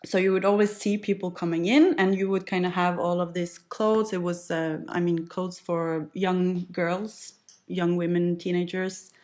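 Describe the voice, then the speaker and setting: A Norwegian-accented woman in her late thirties chats as a podcast guest, her voice sitting a touch low. She talks in an easygoing, reflective way, with the odd light laugh. Her first language is Norwegian. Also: little pitch movement, a little slow, darkish tone. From west coast of Norway. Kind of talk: casual conversational storytelling.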